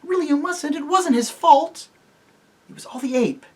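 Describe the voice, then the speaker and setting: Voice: mid-range voice, huge pitch swings, brisk, slightly rough, pitched above the performer's own voice, exaggerated voice. A reader voicing a brave, cheeky young girl who talks eagerly. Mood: pleading. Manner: eager, pleading.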